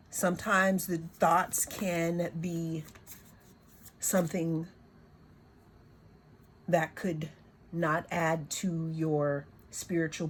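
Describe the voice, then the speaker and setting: A woman reads oracle cards in a hoarse, lowish voice, taking her time over every phrase. She circles back and repeats words as she searches for what to say. A faint background hiss sits under her voice. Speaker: tarot and oracle card reader. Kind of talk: slow, reflective spiritual card reading.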